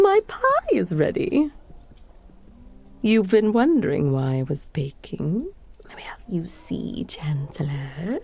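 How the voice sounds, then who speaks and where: A vain, scheming evil sorceress, played by a woman, gloats through a comedic villain monologue. Her voice swoops widely up and down, and she drags her words out slowly. A gravelly rasp runs under her theatrical, whispery delivery. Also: medium pitch, a little mellow, exaggerated voice. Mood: gloating.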